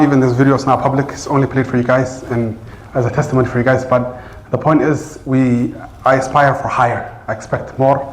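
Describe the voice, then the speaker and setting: A man's voice: medium pitch, a little hiss or hum. A man who teaches Arabic, speaking English as his first language, gives an earnest, loose talk to his class with many fillers and restarts. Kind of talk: informal address to a class. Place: large room in a community center. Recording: roomy echo.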